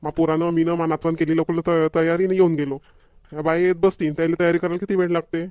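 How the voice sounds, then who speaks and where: A man's voice: fairly high pitch, little pitch movement, quick pace, darkish tone, no room sound, studio quality, natural voice. A man voicing a sociable, practical village family man in a cartoon comedy, with a Vidarbha Marathi accent. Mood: practical, brisk.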